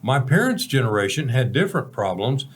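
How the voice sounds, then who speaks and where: A man's voice: mid-range voice, big pitch swings, brisk. Male host, seventies, American accent. Folksy, emphatic talk-show delivery, conversational, leans on repeated phrases. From Texas, United States. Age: 70s.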